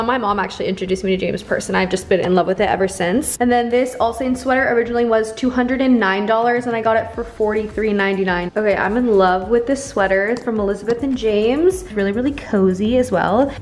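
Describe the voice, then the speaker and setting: A woman's voice: mid-range voice, quick pace, hardly any pauses. A young woman in her twenties chatting casually to her camera, bubbly and full of "like", filming at arm's length in her apartment. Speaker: vlogger. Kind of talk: casual vlog chat. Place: bright home apartment, later inside a car. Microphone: handheld camera at arm's length.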